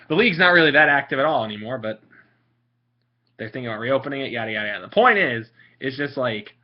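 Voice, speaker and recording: A young man from Italy talking casually and sarcastically about game strategy, rambling with fillers. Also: slightly rough. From Italian. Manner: sarcastic, mocking, frequent fillers. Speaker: vlogger. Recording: muffled low-fi home recording.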